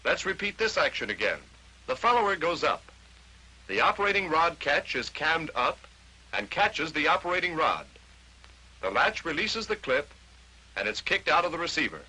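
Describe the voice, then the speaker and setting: A man's voice: a little high, very animated, moderate pace, sharp tone, husky, poor audio. An American man narrating a 1940s instructional training film, explaining step by step in short declarative statements on a vintage film soundtrack.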